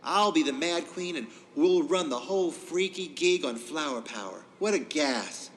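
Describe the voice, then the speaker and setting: An actor playing a groovy, spacey hippie witness in an audio drama, talking in slangy phrases. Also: male voice, fairly high pitch, lively intonation, normal speed, fairly bright tone, low-quality recording. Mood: earnest, spacey. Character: groovy, spacey male hippie giving court testimony.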